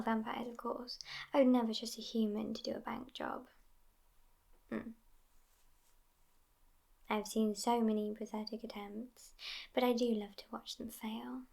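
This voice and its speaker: A woman plays an immortal vampire girlfriend in an ASMR roleplay, sassy yet affectionate. Her fairly high voice stays hushed, often slipping into a whisper. She speaks in a languid, even line with a gravelly creak at the edges. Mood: wry, relaxed.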